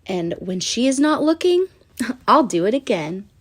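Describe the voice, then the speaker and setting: A woman reading a rhyming children's picture book aloud in an animated, sing-song storytelling manner with deliberate pauses. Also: a little high.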